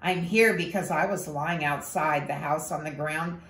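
A woman's voice: sits low, normal speed, a bit bright, far from the mic. A woman voicing a talking taro root that scolds and teases a boy. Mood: smug. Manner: bossy, scolding. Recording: roomy echo.

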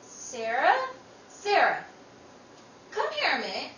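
A woman playing a caring, excitable sister with emphatic delivery in an old-time radio drama. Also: sits high, slow pace, exaggerated voice. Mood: excited wonder. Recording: roomy, distant, faintly noisy recording.

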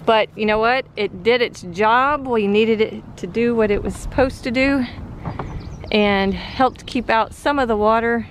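A woman's voice: fairly high pitch, unhurried, a little hiss or hum. A woman chatting casually and cheerfully on a rooftop while showing a house restoration. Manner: chatty, upbeat, teasing. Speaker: co-host of a house restoration channel. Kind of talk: casual on-camera chat. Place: outdoors on a rooftop.

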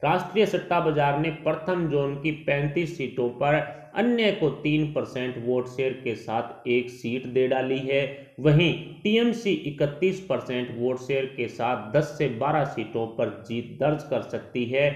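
A man's voice: mid-range voice, a little slow, few pauses. A man narrating a scripted report in a news style. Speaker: narrator of an election survey report. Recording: dull, echoey voice-over recording.

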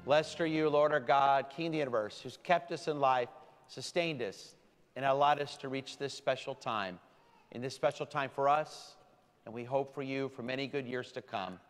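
A man giving a sermon as rabbi to his congregation, speaking with slow, deliberate emphasis. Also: mid-range voice. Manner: warm, deliberate preaching with slowed emphasis. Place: large synagogue sanctuary. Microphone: stand mic from a far camera. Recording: long hall reverb.

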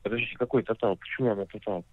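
Fast-talking man on a tinny phone line. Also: fairly low pitch, steady tone, dry recording. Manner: questioning, insistent. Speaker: car dealer. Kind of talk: phone conversation.

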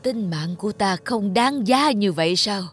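A woman's voice: medium pitch, animated, normal speed, music in the background, close to an everyday voice. A woman voicing an ailing, demanding middle-aged cartoon king with an imperious manner. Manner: imperious, commanding. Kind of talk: cartoon voice acting.

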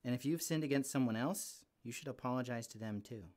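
Male, medium pitch, playing an earnest young puppet character; informal, conversational storytelling for children. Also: a little fast, a little mellow. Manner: chatty, earnest, informal with frequent "like". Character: Douglas, earnest friendly young male green puppet kid. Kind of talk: casual storytelling devotional for children.

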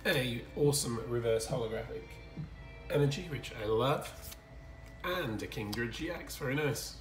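A hoarse, croaky man hosting his card-opening channel in casual chat, often clearing his throat and pausing with fillers. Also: medium pitch, animated, echoey room, distant mic, faint music behind. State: croaky, hoarse from screaming at a game. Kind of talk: casual unboxing commentary. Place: indoor room with collectibles on shelves.

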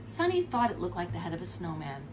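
A woman in her forties reading a storybook aloud to young children as a preschool teacher, expressive and explanatory, recorded indoors at home. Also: lowish voice, quick pace, rough recording. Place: furnished room at home, indoors. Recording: clear background noise.

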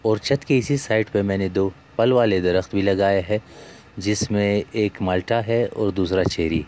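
A man's voice: lowish voice, a little slow, a little mellow, faint music behind. A man, narrating a home gardening vlog as its host in a scripted voice-over.

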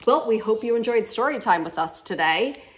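A woman in her thirties reading a picture book aloud to children in an animated, expressive way, like a teacher at story time. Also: on the high side, slight background noise. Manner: expressive, with gasps and drawn-out words for children. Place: living room at home, on a couch. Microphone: phone camera about a metre away.

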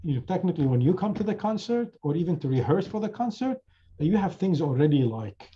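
Dark-voiced man rambling on a video call. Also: on the high side, big pitch swings, brisk, muffled recording, slight background noise. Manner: rambling, many fillers and restarts. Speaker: chanter and instructor. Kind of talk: casual discussion in an online class.

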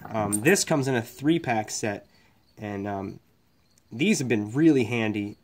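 A man's voice: on the low side, animated, measured pace. A man, an electrician, talking casually and frankly about his tools while he shows them on a workbench, rambling and swearing now and then. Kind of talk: casual tool review. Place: workshop bench, indoors.

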